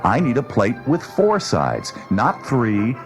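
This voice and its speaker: A gruff space captain, short on patience and quick with sarcasm. His delivery jumps up and down in pitch, with music clearly behind him in an audio storybook. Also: huge pitch swings, full character voice. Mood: impatient. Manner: brusque, sarcastic. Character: gruff, impatient male space pilot captain. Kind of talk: children's read-along audio story acting.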